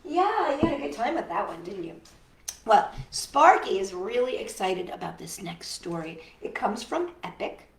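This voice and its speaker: A woman hosting a storytime for young children, reading and singing along in an animated, encouraging way and addressing her listeners directly. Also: mid-range voice, normal speed, reverberant, slight background noise. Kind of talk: read-aloud and sing-along for young children.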